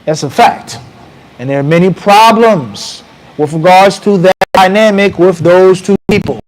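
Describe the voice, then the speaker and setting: A man in his thirties with an American accent, giving an animated, conversational lecture in a mosque and reciting Arabic passages. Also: high voice, unhurried, rough recording. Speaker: mufti teaching a class. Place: small mosque room with bookshelves. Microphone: handheld mic close to mouth.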